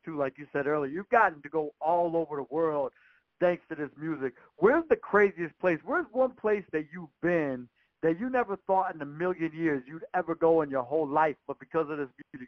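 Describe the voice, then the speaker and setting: Higher-pitched male radio interviewer, muffled. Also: no room sound. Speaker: radio show host, interviewer. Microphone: phone or call line. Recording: muffled, dull conference-call audio.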